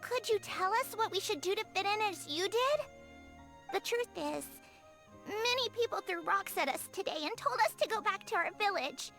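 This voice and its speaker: A gentle little fairy creature in a fantasy game. Her voice is high, soft and childlike, earnest and polite in an innocent way, over music. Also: expressive, moderate pace, heavily acted. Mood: wistful, hurt. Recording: music behind the voice.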